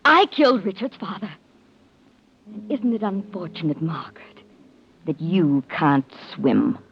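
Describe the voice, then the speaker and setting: An actress playing a scheming, murderous young heiress with menacing mock sweetness in a 1940s radio mystery. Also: female voice, on the low side, sing-song, measured pace. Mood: cold menace. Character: cold, scheming murderous young heiress, smug then desperate. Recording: dull, faintly noisy vintage radio recording.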